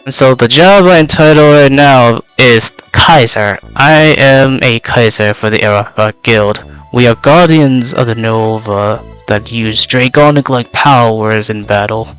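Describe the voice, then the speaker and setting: A man's voice: mid-range voice, measured pace, fairly bright tone, clean tone, faint music behind, poor audio. A young man, a native Vietnamese speaker, gives a casual in-character self-introduction as a VTuber. He rambles with many filler words, and his voice is slightly worn out.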